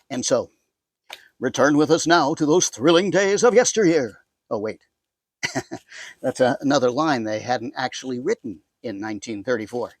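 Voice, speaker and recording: Playful American host, dry close sound. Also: male voice, medium pitch, no echo. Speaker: host introducing the show. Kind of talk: light scripted introduction.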